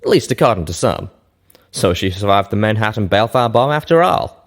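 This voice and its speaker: A man's voice: fairly low pitch, very animated, fairly quick. A man voicing a brash, wry male pony, a seasoned wasteland adventurer, with an emphatic drawl. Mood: impressed, wry.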